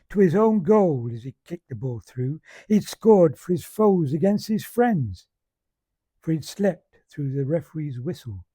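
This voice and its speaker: A man recites comic verse like an amateur monologist. His voice sits a little high yet is very dark and soft-edged, with a husky grain. The delivery is measured storytelling in broad dialect, captured close and dry. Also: dry recording.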